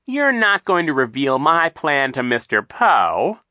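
A man's voice: fairly high pitch, big pitch swings, pushed and bright, no echo, studio quality, voice pushed up, exaggerated voice. A man playing a sneering villain captain. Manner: mocking, dismissive, slow menacing delivery. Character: sneering scheming male villain, sea captain.

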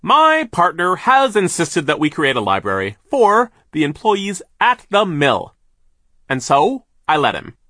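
A man voicing a domineering, stingy mill boss for an audiobook, blustering and pompous, with drawn-out, emphatic words. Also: on the high side, wildly swooping pitch, no room sound, studio quality, pitched above the performer's own voice, full character voice. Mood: sharp, dismissive. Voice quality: forceful, drawn-out emphatic delivery. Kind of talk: audiobook character voice.

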